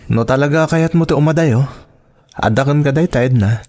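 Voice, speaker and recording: A man's voice: a little high, brisk, darkish tone, natural voice. A man with an Ilocano accent acting expressively in a family radio drama. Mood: resentful. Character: male characters in a family and romance drama.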